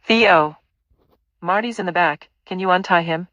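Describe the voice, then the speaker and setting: A sardonic, plain-spoken woman voiced by an actress in an audio play. Her voice sits on the low side and is recorded dry, with a blunt, wry delivery. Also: unhurried, fairly bright tone, no room sound, highs cut off. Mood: exasperated. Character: wry, foul-mouthed woman caught in a store robbery.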